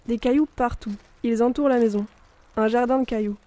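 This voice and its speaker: A woman's voice: a little high, fairly even intonation, fairly quick, warm tone. A young woman reading a scripted, intimate literary journal as a narrator. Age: young adult. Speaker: student narrator.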